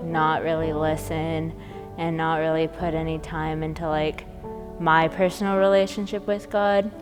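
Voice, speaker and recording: A young woman, a college student, telling her faith story casually with hesitations. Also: low voice. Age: young adult. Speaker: student giving testimony. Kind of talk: personal testimony.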